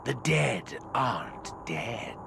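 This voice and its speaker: An actor playing a fanatical undead orc necromancer in a video game, with a gravelly theatrical snarl and pompous, drawn-out proclamations. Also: male voice, medium pitch, big pitch swings, noisy background, exaggerated voice. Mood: taunting, menacing. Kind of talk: video game villain acting. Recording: loud music underneath.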